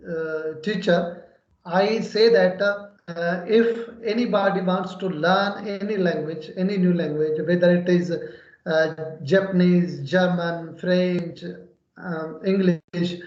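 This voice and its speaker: A man with a fairly high voice, whose first language is Urdu, explains language learning in an earnest, searching way, stopping often for fillers. The recording sounds roomy, with a dull, lo-fi tone. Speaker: English teacher. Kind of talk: casual conversation practice. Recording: echoey room, dull lo-fi audio.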